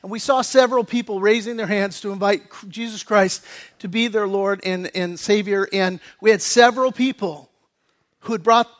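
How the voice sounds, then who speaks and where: An American man preaching a sermon as pastor, reading scripture and growing animated and excited as he explains it. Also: high-pitched, moderate pace.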